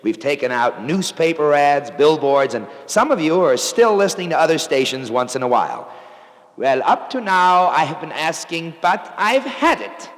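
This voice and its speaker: A man's voice: a little high, sing-song, moderate pace. A man playing an exasperated, bossy announcer in a scripted radio comedy monologue. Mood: aggrieved. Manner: pleading plainly, then building into indignant commanding. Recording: long reverb on voice.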